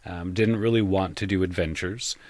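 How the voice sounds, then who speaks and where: Deep, dry-recorded man chatting as a guest. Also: steady tone, moderate pace, a little mellow, dry recording. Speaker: podcast guest, audio drama creator. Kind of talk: casual interview storytelling.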